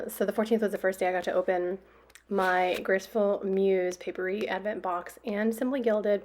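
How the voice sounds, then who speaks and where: A young woman with a hoarse voice, chatting casually over her own planner video, rambling with lots of fillers and small laughs. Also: mid-range voice, a little hiss or hum. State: extra hoarse after a workout. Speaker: planner vlogger.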